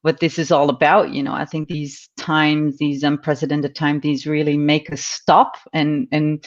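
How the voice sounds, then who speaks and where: A woman's voice: low voice, flat delivery, moderate pace, dull audio. A woman from the Netherlands with a Dutch accent, a business coach who talks reflectively, with many ums and repeated words. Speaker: guest, business and life coach. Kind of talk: casual interview chat.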